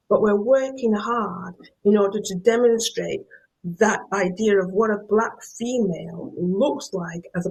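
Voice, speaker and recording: A middle-aged woman with a Yorkshire accent delivers an academic lecture. Her voice sits fairly low, with a gravelly edge and a husky texture. She often doubles back on words as she reaches for the next phrase. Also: darkish tone, rough recording. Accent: Yorkshire English. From Yorkshire, England. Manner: repeats words while searching for the next phrase. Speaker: professor giving a guest lecture.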